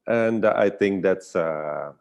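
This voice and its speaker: A man chatting casually and reflectively as a guest storyteller, with thoughtful pauses. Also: deep voice, a little mellow. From Netherlands or Belgium.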